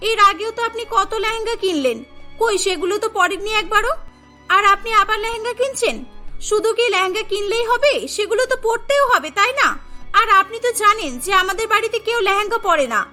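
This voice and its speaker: A woman's voice: very high voice, fast talker, bright, forceful tone. A woman playing an exasperated young cartoon daughter-in-law, scolding and plaintive. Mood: reproachful. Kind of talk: dramatised cartoon story dialogue. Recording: voice over prominent background music.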